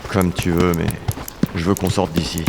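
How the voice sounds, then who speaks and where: A man playing a gruff, blunt insect team leader who talks casually in an audio drama. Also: low-pitched, level tone, normal speed. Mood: calm, weary. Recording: long reverb, clear background noise.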